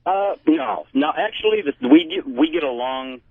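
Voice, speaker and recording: A middle-aged man calling in to a radio show, confiding in a halting, matter-of-fact way. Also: sits high, wildly swooping pitch, creaky, no room sound. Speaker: phone-in caller. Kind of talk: candid personal confession in casual chat. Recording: tinny phone line on radio.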